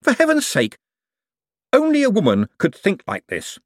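Man acting a wry, exasperated man, animated delivery. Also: mid-range voice, unhurried, no echo, studio quality, pitched above the performer's own voice. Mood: exasperated, outraged. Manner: sardonic, argumentative. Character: wry, exasperated, fast-thinking man prone to outrage.

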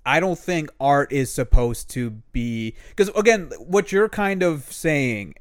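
A young man co-hosting a casual podcast, laying out his views in a measured, argumentative way with some swearing. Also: a little high, lively intonation, smooth, no echo, clean studio sound. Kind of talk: casual chat.

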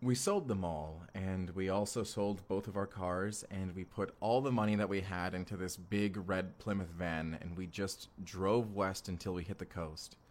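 A man's voice: sits low, natural voice. A young man acting a goofy, affectionate husband from the future in an intimate audio drama, speaking casually and hesitantly straight to the listener. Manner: casual, hesitant, sighing, talks directly to listener. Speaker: actor in audio roleplay. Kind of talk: first-person immersive audio roleplay, intimate conversational acting.

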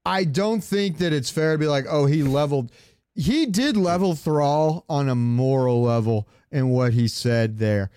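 A man's voice: a little high, unhurried, darkish tone, clear, resonant tone. A man co-hosting a casual podcast, debating game lore in an argumentative, insistent way and often repeating himself. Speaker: podcast co-host.

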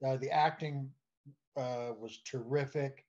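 A man chatting casually as a podcast guest, halting and often repeating his words. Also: medium pitch, steady tone, a little mellow. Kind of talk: casual chat. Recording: dull, lo-fi audio.

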